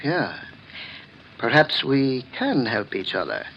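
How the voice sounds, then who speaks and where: An actor playing a nervous, evasive, guilt-ridden murderer in a 1940s radio drama, speaking in hesitant stammers. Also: male voice, medium pitch, very animated, takes it slowly, highs cut off. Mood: wary, scheming. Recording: noisy vintage 1940s radio recording.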